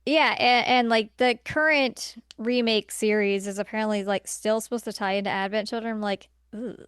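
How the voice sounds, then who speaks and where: A woman's voice: fairly high pitch, steady tone, normal speed, fairly bright tone, clean tone, dry recording, clean studio sound. A woman co-hosting a podcast, chatting casually about films in a blunt, exasperated, colloquial way. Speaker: podcast co-host. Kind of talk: casual opinionated film discussion.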